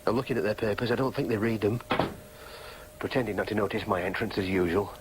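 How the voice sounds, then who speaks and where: An actor playing a frustrated, defiant young working-class son with a Northern English accent, argumentative and self-dramatising, in a radio drama. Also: male voice, fairly low pitch, fast talker, background noise, lightly acted. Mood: dry, resigned. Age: young adult. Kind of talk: British radio drama acting with interior monologue.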